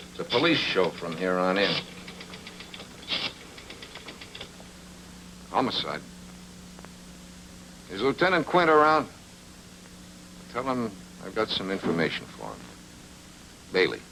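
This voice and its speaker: An actor playing a tough, sardonic private investigator in a noir radio drama, talking in terse slangy patter. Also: male voice, low-pitched, big pitch swings, measured pace, pauses often, bright, forceful tone, slight background noise, heavily acted, low-quality recording. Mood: resigned, terse.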